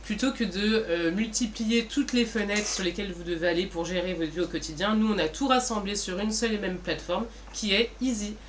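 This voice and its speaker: Woman explaining stuff in a casual presentation, teachy, normal pitch, a bit of noise behind her. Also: a little fast, few pauses. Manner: didactic, poses questions then answers. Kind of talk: informal explanatory business presentation.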